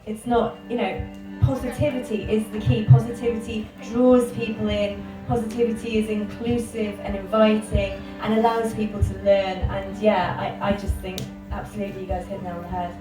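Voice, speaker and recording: A woman's voice: medium pitch, level tone, moderate pace. A woman speaking earnestly on a discussion panel as a housing campaigner. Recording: echoey, far from the mic, with music behind.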